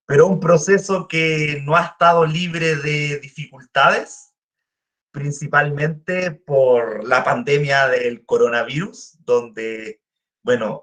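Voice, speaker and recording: A man from Chile with a Chilean Spanish accent, giving a guest lecture over a video call, speaking slowly and deliberately with frequent "eh" fillers. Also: medium pitch, bright, forceful tone. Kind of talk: online academic lecture.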